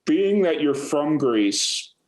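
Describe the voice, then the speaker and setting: A man in his thirties from Chicago's South Side hosting a casual podcast interview, talking in a relaxed, rambling way with many fillers. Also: fairly high pitch, takes it slowly, low-quality recording. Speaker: podcast host. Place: dark home studio room. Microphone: studio mic with pop filter close in front.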